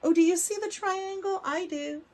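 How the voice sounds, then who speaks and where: A woman reading a picture book aloud to preschoolers, expressive, stretching words for emphasis and putting questions to the children. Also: very high-pitched, moderate pace. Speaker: read-aloud storyteller for preschoolers.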